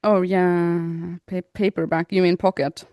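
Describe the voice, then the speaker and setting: A woman's voice: lowish voice, flat delivery, unhurried, mellow, no room sound, clean studio sound. A woman co-hosting a casual podcast chat, easygoing, describing what she sees and laughing often. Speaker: podcast co-host.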